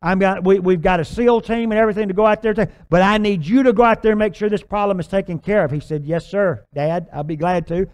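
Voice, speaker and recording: An older man preaching a sermon, telling a long illustration story on a church stage with lively gestures. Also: high voice, quick pace, few pauses, smooth. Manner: animated, gesturing storyteller with filler restarts. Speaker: pastor. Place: large church stage with purple lights.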